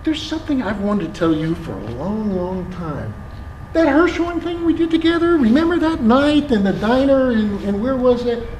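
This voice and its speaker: A stage performer playing a flirtatious, regretful former lover with a gushing, sometimes halting delivery. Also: mid-range voice, lively intonation, darkish tone, lightly acted. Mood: regretful, nostalgic. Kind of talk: live stage play acting. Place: theatre stage, recorded from the audience. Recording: echoey theatre, faint noise.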